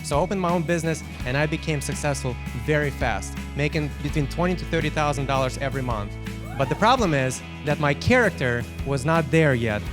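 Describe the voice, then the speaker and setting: A man with a Ukrainian accent and a somewhat high voice shares his personal testimony. He talks in a halting, emphatic way, as a business owner addressing a group. Guitar music plays clearly behind him. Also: lively intonation.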